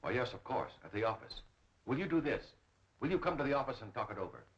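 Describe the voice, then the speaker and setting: A man's voice: mid-range voice, sing-song, fast talker. An actor playing an anxious, earnest businessman in a 1930s film. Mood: worried, earnest. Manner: earnest, nervous. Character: anxious, harried male businessman in fear. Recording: dull 1930s film soundtrack.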